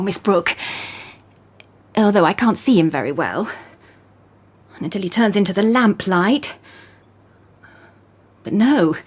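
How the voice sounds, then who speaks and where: Husky gossipy shop lady, voice jumps all over. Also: medium pitch. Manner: chatty, gossipy, lively. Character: gossipy female shopkeeper, chatty and curious. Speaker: shopkeeper. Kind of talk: radio drama acting.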